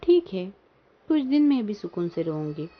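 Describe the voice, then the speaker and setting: A woman voicing a gentle, earnest mother bird in a children's cartoon. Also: mid-range voice, very animated, normal speed. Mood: doting. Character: gentle, doting mother bird, easily dismayed. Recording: dull lo-fi sound.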